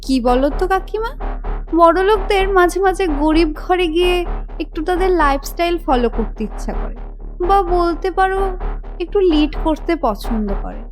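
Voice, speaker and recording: A woman's voice: very high-pitched, animated, unhurried, music in the background, low-quality recording. A woman playing a rich young college woman in an audio story, haughty and mocking, with a taunting, condescending drawl. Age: young adult.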